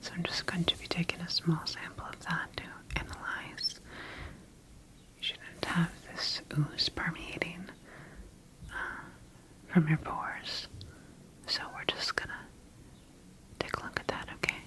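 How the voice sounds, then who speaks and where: A woman's voice: lowish voice, level tone, low-quality recording. Whispering female scientist, acted by a woman. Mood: gentle, reassuring. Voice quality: whispered, breathy. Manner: slow, gentle, measured clinical delivery. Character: calm, clinical female scientist examining her engineered creation. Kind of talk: ASMR roleplay.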